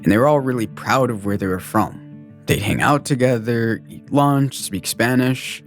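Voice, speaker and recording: A man's voice: medium pitch, normal speed, soft background music. A young American man talking candidly and informally about himself in a reflective personal conversation. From United States, born in Guatemala. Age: young adult. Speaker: podcast co-host telling his own life story.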